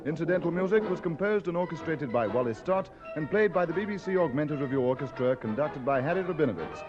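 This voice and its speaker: A man's voice: fairly high pitch, animated, fast talker. A British man reading a formal closing announcement as a 1950s radio announcer. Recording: muffled vintage radio recording. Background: orchestral music.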